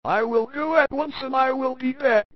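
An eager helper speaks softly and reassuringly in a voice raised well above normal, put on by a male storyteller. The recording is muffled and completely dry. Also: extremely high pitch, moderate pace, no echo, pitched above the performer's own voice.

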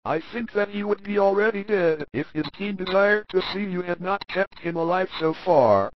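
An anxious, humble villager speaks softly and earnestly, his voice lifted high and held quiet, as a male storyteller puts him on. The sound is muffled and lo-fi, like an old audiobook. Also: normal speed, dry recording, close to an everyday voice. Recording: dull lo-fi recording.

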